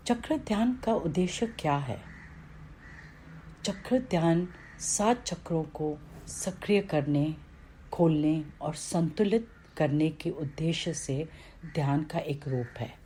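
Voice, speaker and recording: A woman reading a scripted guided meditation in a calm, instructional way, with pauses between phrases. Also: deep voice, a little hiss or hum, low-quality recording.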